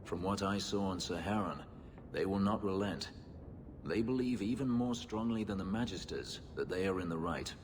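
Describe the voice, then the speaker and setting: A man voicing a brooding, bitter warrior in a video game, speaking in a measured, grave, dryly sardonic way. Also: sits low, big-room sound, noisy background, close to an everyday voice. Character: brooding, bitter male warrior from a slave-keeping empire.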